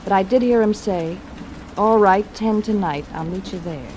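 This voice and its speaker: A woman's voice: mid-range voice, normal speed, a little mellow, a little hiss or hum, close to an everyday voice, poor audio. A woman with an American accent playing an alluring, coaxing femme fatale in dramatic 1940s radio noir style. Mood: anxious, pleading. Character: alluring, pleading femme fatale, gangster's wife.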